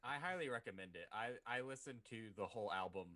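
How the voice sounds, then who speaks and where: A man's voice: medium pitch, expressive, quick pace, bright, forceful tone, no echo. A man co-hosting a casual podcast, enthusiastic and repeating his words as he talks. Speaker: podcast co-host.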